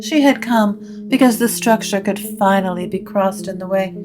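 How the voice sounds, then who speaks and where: A woman narrating scripted supernatural fiction in a measured, literary storytelling manner. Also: mid-range voice, music in the background.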